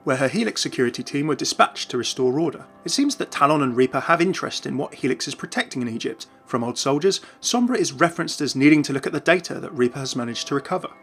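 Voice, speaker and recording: A man's voice: medium pitch, animated, fast talker, hardly any pauses, a bit bright, soft background music. A man narrating scripted commentary for an online video.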